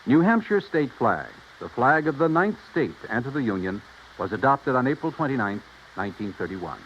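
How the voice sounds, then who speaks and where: A man's voice: medium pitch, sing-song, moderate pace. A man reading scripted public service narration as a radio announcer, formal and declamatory. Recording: muffled old radio recording, faint noise.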